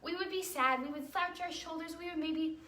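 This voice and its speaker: A woman teaching a ballet lesson to young children in an encouraging, animated way, explaining things simply and checking in with questions. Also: very high-pitched, fairly quick. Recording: roomy echo, distant mic, faint noise.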